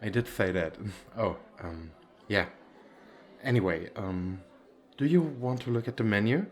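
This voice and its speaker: A man's voice: lowish voice, lively intonation, a little slow. A man playing a nervous, bashful, well-off young businessman on a date in an ASMR roleplay, with hesitant, stammering speech. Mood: nervous, flustered. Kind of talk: ASMR roleplay, one-sided conversation with the listener.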